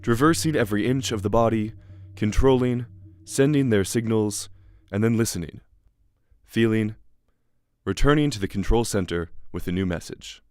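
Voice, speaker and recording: A man playing a philosophical narrator in a radio play, musing deliberately with dramatic pauses. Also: fairly low pitch, darkish tone, dry recording, clean studio sound, lightly acted. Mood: musing, intriguing.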